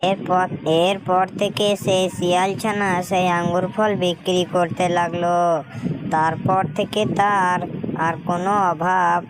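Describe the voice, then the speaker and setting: Low, flat-voiced woman narrator. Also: a little slow, background noise. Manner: plain storytelling. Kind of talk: storybook cartoon narration.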